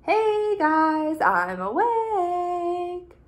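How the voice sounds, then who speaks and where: Storybook role: bubbly, insistent young boy snail, very high and animated, played by a woman reader. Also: drawn-out words, full character voice. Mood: excited, eager. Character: excitable, eager little boy snail, an early riser.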